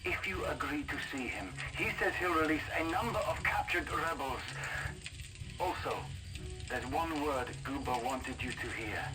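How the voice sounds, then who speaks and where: A man's voice: a little high, normal speed, natural voice, poor audio. A man playing an earnest resistance informant who relays messages in a video game cutscene, informative and slightly hesitant. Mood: earnest, grave.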